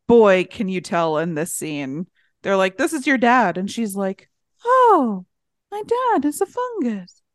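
A woman's voice: mid-range voice, sing-song, a little slow, no echo, clean studio sound. A woman co-hosting a podcast with casual banter and wry, playful asides. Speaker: podcast co-host.

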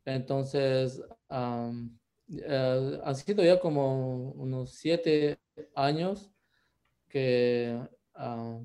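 A man from the highlands, answering interview questions as a shaman guest in an unhurried, hesitant way full of drawn-out fillers. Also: mellow. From Ecuador, Andean highlands.

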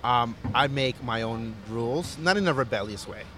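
A man's voice: mid-range voice, lively intonation, normal speed, sharp tone, a little hiss or hum. A man who grew up in Costa Rica, a chef talking earnestly and reflectively in a casual interview, with fillers and restarts.

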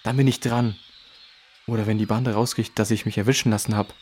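A man's voice: on the low side, steady tone, a little fast, darkish tone, a little hiss or hum, close to an everyday voice. A man playing a defensive teenage boy who acts tough, talking in slangy, hesitant bursts in a children's audio drama.

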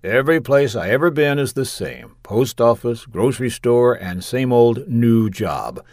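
A man's voice: mid-range voice, lively intonation, a little slow, darkish tone, no echo, clean studio sound, lightly acted. A man voicing a nervous, petulant and lonely male client, hesitant and scoffing, in an audiobook short story. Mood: bleak, resigned.

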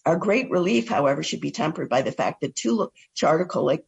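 Hoarse, gravelly-voiced woman radio host. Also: low voice, animated, brisk, dull audio. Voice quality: gravelly and husky. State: hoarse from a cold. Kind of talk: scripted radio news recap.

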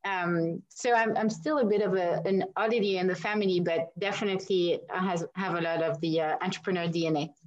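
A woman's voice: on the low side, fairly even intonation, brisk, hardly any pauses, lo-fi sound. A woman from France with a French accent, chatting as an interview guest and laughing often as she answers. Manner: warm and chatty, laughs often, many restarts.

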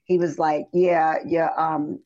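A middle-aged woman with a somewhat low, slightly hoarse voice tells her story in an animated, rambling way. The recording sounds dull and lo-fi. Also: slow pace. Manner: chatty, animated storytelling with restarts and fillers. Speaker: singer guest. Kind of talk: casual interview chat. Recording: dull lo-fi audio.

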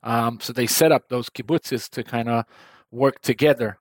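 A man's voice: mid-range voice, fairly even intonation, normal speed, no echo. A man in his forties who grew up in Israel talks through an interview in a loose, chatty way. He laughs easily and repeats words as he restarts his thoughts. The sound is close and dry, with no room around it. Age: 40s. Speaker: guest, tech company founder. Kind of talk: casual interview conversation.

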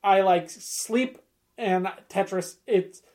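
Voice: medium pitch, takes it slowly, darkish tone. A podcast panelist speaks in an informal, conversational way. The talk is casual discussion, as among people reviewing trailers together.

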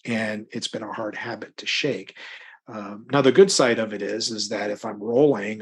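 Middle-aged male author as interview guest, slightly deep and husky, conversational, loosely rambling delivery. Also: a bit bright. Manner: rambling, thinking aloud in broken phrases. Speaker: interview guest, crime novelist. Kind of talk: casual conversational interview answers.

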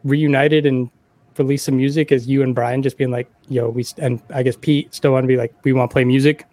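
Man, podcast host, medium-pitched voice, dry close sound, informal conversational questions. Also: level tone, brisk, mellow, no room sound. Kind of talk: casual music interview.

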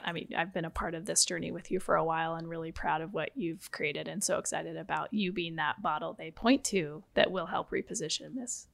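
A woman's voice: mid-range voice, creaky. A woman co-hosting a casual interview, rambling and rephrasing as she works toward a question. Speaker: podcast co-host.

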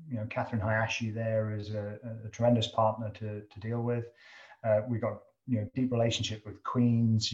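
A man from the UK with a British accent, a company chief executive answering interview questions in a halting way, often restarting and repeating words. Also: on the low side, dull audio. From United Kingdom. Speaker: company CEO, guest. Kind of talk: business interview.

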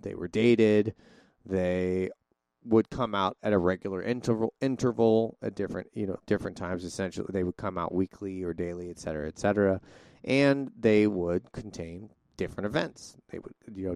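A man's voice: fairly low pitch, vocal fry, darkish tone, smooth, no echo, clean studio sound. A man co-hosting a podcast in casual chat, talkative and theatrical, often restarting his sentences. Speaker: podcast co-host.

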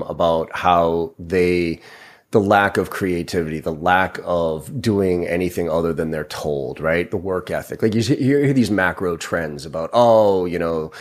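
Man, forties, low pitched, casual podcast host, rambling, cursing. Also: unhurried. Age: 40s. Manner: rambling, profane, self-deprecating banter. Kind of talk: casual conversational interview.

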